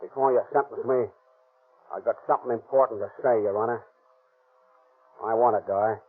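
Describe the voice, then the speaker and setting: An actor in a 1930s radio drama playing a grim convicted bandit who speaks urgently to a judge. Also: male voice, lowish voice, fairly even intonation, normal speed, slight background noise, natural voice. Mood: pleading. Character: grim convicted male bandit pleading at sentencing. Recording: tinny old radio broadcast, off-mic.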